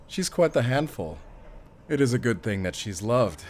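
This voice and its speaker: A man's voice: mid-range voice, sing-song, moderate pace, a little mellow, slight background noise, lightly acted. A man playing a courteous, genial father of a grown son in an audio drama. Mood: genial, concerned. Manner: polite, jovial.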